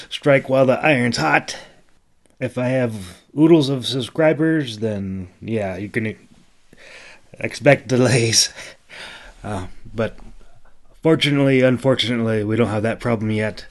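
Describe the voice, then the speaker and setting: A man's voice: medium pitch, a little slow. A man who narrates his own audiobooks, speaking informally as an independent storyteller at a microphone. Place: mostly quiet room at home. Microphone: solo home microphone.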